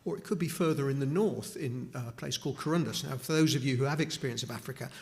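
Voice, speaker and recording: A man's voice: medium pitch, fast, vocal fry. A middle-aged man from Newcastle, giving an informal charity talk to a church congregation with many restarts and asides. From Newcastle upon Tyne, England. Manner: conversational, with frequent restarts and asides. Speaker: charity speaker at a chapel.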